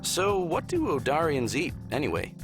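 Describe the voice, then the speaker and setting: A man's voice: on the high side, wildly swooping pitch, normal speed. A man voicing a friendly young male spaceship captain in a visual novel game. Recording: game music over the voice. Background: video game music.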